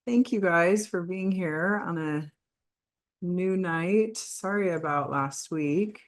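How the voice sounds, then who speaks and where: A woman coach leading a live group call, her voice still a little hoarse, talking in a friendly, informal way with many restarts. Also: on the low side, slow pace. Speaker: weight-loss coach.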